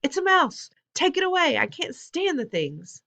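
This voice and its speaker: A woman reading aloud, voicing a shrill, haughty middle-aged mother who shrieks in panic. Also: big pitch swings, moderate pace, a bit bright, heavily acted. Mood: shrieking.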